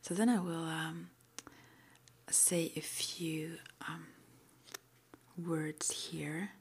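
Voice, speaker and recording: Slow whispering woman, halting ASMR roleplay. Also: deep voice, steady tone. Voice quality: breathy whisper. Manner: hesitant, halting, gently reassuring. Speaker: ASMR roleplayer playing a witch school guide and interviewer.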